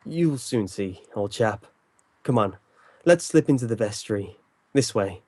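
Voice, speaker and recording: An actor playing an incisive, stern detective in an old-time radio drama, speaking with formal, theatrical authority. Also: male voice, fairly low pitch, animated, a little slow, a little mellow, slight background noise. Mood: assured, resolute. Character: commanding, incisive male detective, calm and stern.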